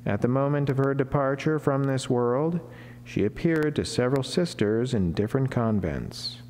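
A middle-aged man, a friar, reads a devotional sermon in a formal, measured way with deliberate pauses, speaking at a lectern microphone in a chapel. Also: mid-range voice, lively intonation, a little mellow. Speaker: Franciscan friar giving a daily saint reflection. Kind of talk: solemn read sermon, devotional reading. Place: wood-panelled chapel, indoors, at a lectern. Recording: long church reverb, clear background noise, hum.

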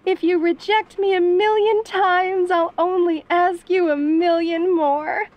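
A woman's voice: very high-pitched, steady tone, a little slow, lo-fi sound. A woman playing a perky, doting yandere mother in an audio roleplay, with sugary, theatrical, genteel speech. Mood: gushing. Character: perky, doting, middle-aged yandere mother, teasingly clingy.

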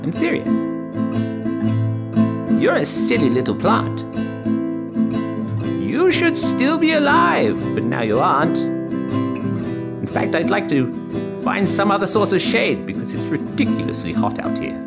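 A man's voice: sits high, huge pitch swings, over loud music, pitched above the performer's own voice, heavily acted. A man voicing a sulky, self-pitying prophet in a musical storybook tale, whining and drawing out his complaints melodramatically. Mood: whining, melodramatic. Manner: whining, melodramatic, drawn-out complaints, bursting into song. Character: Jonah, sulky, dramatic, self-pitying prophet sulking in the heat.

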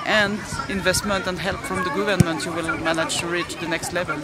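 A woman's voice: lowish voice, steady tone, a little fast, heavy background noise. A middle-aged woman who manages football academies, answering questions in an outdoor media interview. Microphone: handheld reporter microphone. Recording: loud crowd and music behind.

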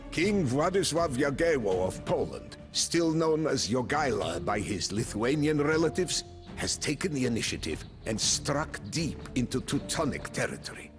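An actor playing a grim, proud medieval Bohemian mercenary commander, narrating his story solemnly and deliberately for a video game. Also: medium pitch, very animated, lightly acted. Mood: stern. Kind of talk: dramatic scripted first-person narration. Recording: clean voice-over with music behind.

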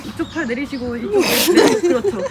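A woman's voice: sits high, expressive, fast. A woman from Seoul chatting casually and playfully with her friends, with a teasing manner. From Seoul, South Korea. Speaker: vlogger's friend. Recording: loud music over the voice. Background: loud music.

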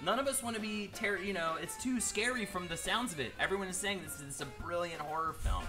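Man in his thirties, loud projecting voice, rambling casual talk, boom mic, music behind. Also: a little fast. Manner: rambling, animated, reads viewer comments aloud. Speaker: podcast host. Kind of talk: casual livestream chat. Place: small dim room indoors. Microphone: studio mic on boom arm.

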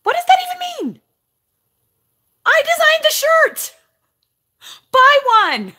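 A woman in her forties with a raspy voice, chatting casually to the camera as she answers viewer comments and rants a little, recorded up close in her bedroom. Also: extremely high pitch, slow. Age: 40s. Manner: animated, ranty. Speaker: vlogger. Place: bedroom at home, indoors. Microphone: camera close in front.

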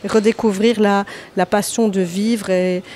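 A woman's voice: medium pitch, fairly even intonation, measured pace, warm tone. A woman in her thirties from Lebanon talks about her writing in a podcast interview. She hesitates often, restarting phrases and filling gaps with small sounds, and her voice sits in a middle register. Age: 30s. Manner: hesitant, many fillers and restarts. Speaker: author guest.

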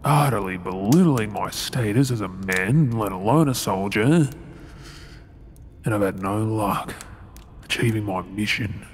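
Male actor as resentful soldier in servant's guise, audio role-play. Australian, lowish voice, unhurried delivery, over background ambience. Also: slight background noise, lightly acted. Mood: bitter, brooding. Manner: brooding asides, formally deferential address. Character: resentful undercover soldier posing as princess's servant. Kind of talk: scripted ASMR audio role-play acting.

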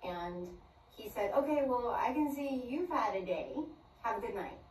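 A woman's voice: fairly high pitch, normal speed. An older woman in a phone video recounting a personal story, acting out each line of dialogue. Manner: animated storyteller who acts out dialogue. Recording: roomy far-off clip with faint noise.

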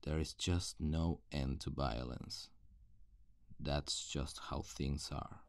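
A gruff apocalypse survivor, acted by a man for an ASMR roleplay, speaks in an extremely deep, gravelly voice. He is a cynical, guarded loner who delivers terse lines slowly and deliberately. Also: fairly even intonation, full character voice. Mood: grim, detached. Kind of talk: ASMR roleplay acting, addressed to the listener.

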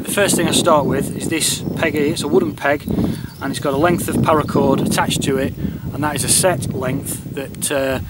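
A middle-aged man giving a casual how-to demonstration to camera outdoors, picked up by a camera microphone. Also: fairly high pitch. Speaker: bushcraft and radio hobbyist presenter. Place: open grassy moorland outdoors. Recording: loud wind noise on outdoor microphone. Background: wind noise.

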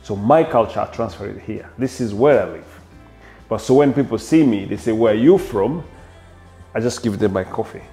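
A middle-aged man with a Rwandan accent giving an interview to camera, passionate and sometimes halting, building on repeated phrases. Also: on the low side, dark tone, faint music behind. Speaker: coffee company co-founder. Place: indoor coffee shop.

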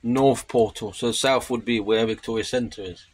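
A man, chatting casually and excitedly to camera as an exploring vlogger. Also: medium pitch, moderate pace, slightly rough. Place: outdoors on streets and woods, later inside a tunnel. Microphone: handheld camera or phone at arm's length. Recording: noisy handheld recording on location.

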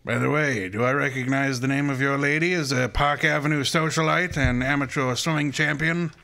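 Pompous genius detective for radio drama played by a man, bright, creaky voice, dry formal delivery. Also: medium pitch, steady tone, brisk. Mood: dry, brisk. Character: imperious, pompous, indolent genius male private detective. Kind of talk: old-time radio detective drama acting.

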